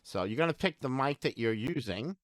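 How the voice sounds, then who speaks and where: A man's voice: mid-range voice, expressive, brisk, a bit bright, no room sound, studio quality. A man hosting a tech channel, giving an informal tutorial demonstration straight to viewers and repeating his points. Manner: informal, repeats himself, addresses viewers directly. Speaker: tech and gaming channel host.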